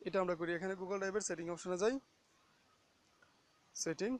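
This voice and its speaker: A man's voice: sits high, steady tone, moderate pace. A man hosting a casual, step-by-step phone app tutorial, instructing listeners and often checking that they follow. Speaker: tutorial host.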